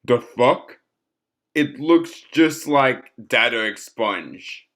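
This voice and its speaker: Man acting a loud, commanding lawman. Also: mid-range voice, lively intonation, very slow. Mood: shocked. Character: blunt, hostile lawman making an arrest.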